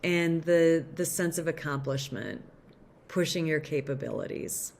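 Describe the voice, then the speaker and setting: A woman presenting a summer program at a virtual fair on a video call, upbeat and pausing often with "um". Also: deep voice, vocal fry, darkish tone. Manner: upbeat, with frequent "um" pauses.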